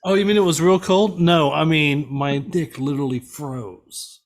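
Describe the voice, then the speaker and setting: A man with a slightly high voice talks casually as a podcast regular. He tells a self-deprecating story in loose, joking banter with his friends. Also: steady tone, measured pace.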